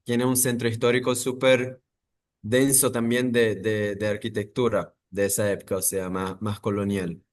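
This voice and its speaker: A man, talking in a thoughtful, halting way with many pauses and restarts as an interview guest. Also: fairly low pitch, level tone. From Brazil. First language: Portuguese. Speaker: architect guest. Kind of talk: interview conversation.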